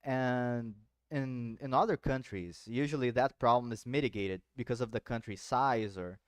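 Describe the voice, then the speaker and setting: A man hosting a podcast, talking in relaxed, rambling English with frequent asides and an occasional swear. His voice sits at an ordinary middle pitch. The recording is dry with no room echo. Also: moderate pace, clean tone. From South Brazil. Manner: loose, swearing, explains with many asides. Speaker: podcast host. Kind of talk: casual chat.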